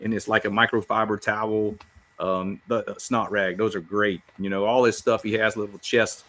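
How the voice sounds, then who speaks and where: A man's voice: fairly low pitch, flat delivery, moderate pace, a bit bright. A man chatting casually as a podcast panelist, in a folksy, informal, rambling way. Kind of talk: casual chat.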